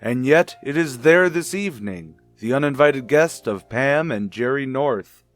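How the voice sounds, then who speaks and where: An American man narrating a mid-century radio mystery as announcer, deliberate and declamatory. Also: mid-range voice, lively intonation, faint music behind, lightly acted.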